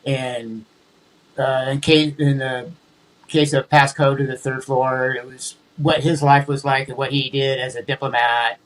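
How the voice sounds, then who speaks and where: A man's voice: mid-range voice, level tone, measured pace, poor audio. A man who speaks as a guest in a casual interview, hesitating and repeating words as he thinks. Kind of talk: conversational interview.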